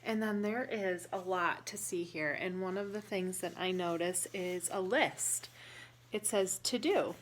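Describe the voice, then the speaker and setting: A woman reading a picture book aloud to young children, pausing to explain and ask questions in a deliberate, instructive way. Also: on the low side, a little hiss or hum.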